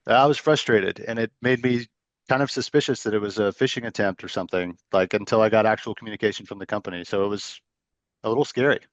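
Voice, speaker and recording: A man of thirty chatting in a relaxed way and telling short personal stories as a co-host. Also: lowish voice, fast, no room sound, muffled recording. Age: 30s. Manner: relaxed, anecdotal. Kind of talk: casual chat.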